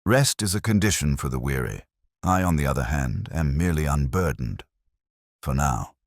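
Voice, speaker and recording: A man plays a cool, philosophical Victorian detective in an audiobook. His voice is very deep, with dramatic rises and falls, and he speaks formally and deliberately. The recording is dry. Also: animated, no echo.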